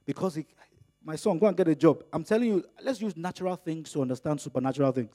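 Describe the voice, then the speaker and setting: A middle-aged man preaching with a high, bright voice. His first language is Yoruba. He acts out the exchanges in his stories, letting his voice climb and grow louder as he does. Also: quick pace. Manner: animated, acts out dialogues with rising pitch and volume. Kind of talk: sermon.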